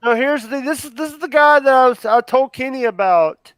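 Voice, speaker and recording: A man's voice: extremely high pitch, a bit bright. A man co-hosting a livestream chat who stammers and restarts his sentences, with a sore throat coming on. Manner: halting, stammering, starts sentences over. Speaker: co-host.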